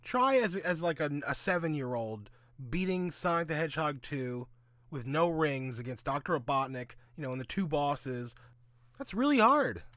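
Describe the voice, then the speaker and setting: Man, slightly high voice, very lively intonation, opinionated casual commentary, sweary, sarcastic. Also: moderate pace, no room sound. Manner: blunt, sweary, sarcastic, asks and answers own questions. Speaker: host of a gaming commentary audio log.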